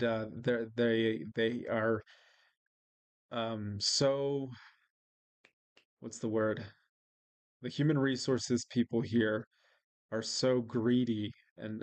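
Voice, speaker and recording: A man's voice: medium pitch, no room sound. A man from Mexico with an American accent, rambling in a casual diary-style vlog, hesitant and full of restarts. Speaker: vlogger. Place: living in Mexico.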